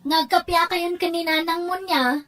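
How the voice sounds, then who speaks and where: A woman's voice: extremely high pitch, fairly even intonation, moderate pace, a bit bright. A woman with an Ilocano Filipino accent acting an aggrieved family member in an amateur audio drama. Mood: scolding.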